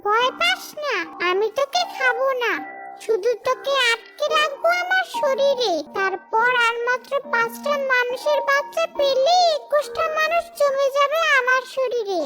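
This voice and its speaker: A very high-pitched female ghoul played by a woman from a cartoon horror tale, scheming and hungry for victims. She gloats and threatens, with loud music running behind her. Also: expressive, a little slow. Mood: menacing. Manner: gloating, menacing. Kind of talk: children's cartoon horror story acting.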